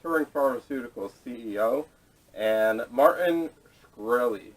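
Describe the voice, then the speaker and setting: A man hosting a casual podcast discussion, speaking haltingly with restarts and casual swearing, picked up on a camera microphone. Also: medium pitch, animated. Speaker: podcast host introducing topics. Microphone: camera mic, away from speakers. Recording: distant camera microphone audio.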